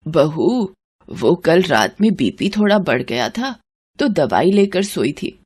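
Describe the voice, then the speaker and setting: A woman's voice: lowish voice, very animated, slow, darkish tone, slightly rough, heavily acted. A woman voicing a wronged, remorseful elderly mother-in-law in a moral story, plaintive and pleading. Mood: hurt.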